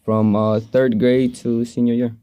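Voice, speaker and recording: A man's voice: mid-range voice, level tone, a little slow, dark tone, smooth. A man from Israel who moved to Brooklyn as a child, giving plain, brief, casual answers as a podcast guest. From Israel, moved to Brooklyn at eight. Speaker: podcast guest, amateur basketball player.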